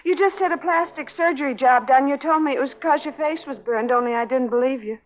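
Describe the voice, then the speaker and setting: A woman's voice: high-pitched, a little fast, natural voice. An actress playing an alarmed, defiant woman in a 1940s radio crime thriller, with an emphatic melodramatic delivery. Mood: accusing, hard. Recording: muffled 1940s radio recording.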